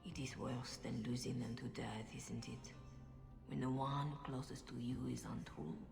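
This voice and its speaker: An actress playing a mysterious, solemn female mentor, speaking in a hushed, grave, consoling way in a video game. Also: a bass voice, fairly even intonation, a little slow, creaky, music in the background, heavily acted. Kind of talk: video game cutscene acting.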